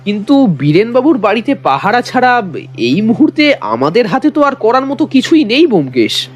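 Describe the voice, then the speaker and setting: A man's voice: very high voice, very animated, normal speed, highs cut off, heavily acted. A man playing a detective's loyal companion, narrating the mystery as a suspenseful, dramatic audio story. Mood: concerned. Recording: long reverb, music bed.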